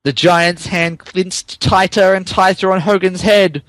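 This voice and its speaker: A man with a fairly high voice narrates fan fiction in a mock-dramatic style. He takes his time, giving straight-faced emphasis to garbled words. The sound is dry and close. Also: fairly even intonation, measured pace, a bit bright, dry recording, poor audio. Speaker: podcast narrator.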